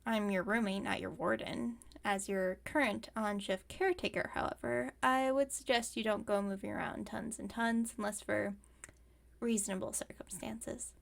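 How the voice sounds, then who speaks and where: A woman voicing a caring, wry young werewolf roommate in a casual, teasing, gently bossy ASMR roleplay monologue. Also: medium pitch, steady tone, moderate pace, vocal fry, close to an everyday voice.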